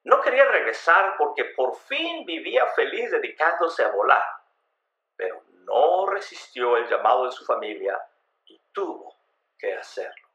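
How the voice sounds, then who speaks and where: A man's voice: mid-range voice, very animated, a little slow, bright, forceful tone, highs cut off. A man narrating a scripted radio drama in a theatrical, emphatic storytelling manner. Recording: roomy echo on the voice.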